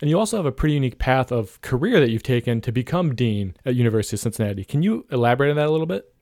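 A man's voice: mid-range voice, lively intonation, racing, a little mellow, dry recording, clean studio sound. A man hosting a podcast interview in a casual, affirming, conversational way. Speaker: podcast host.

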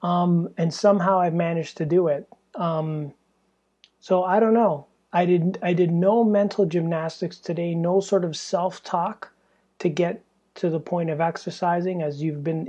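Hoarse tired young guy rambling on his podcast, husky dark voice, swears a lot. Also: high voice. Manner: rambling, candid, swears freely. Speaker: podcast host. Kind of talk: casual solo podcast monologue. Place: small stuffy indoor room.

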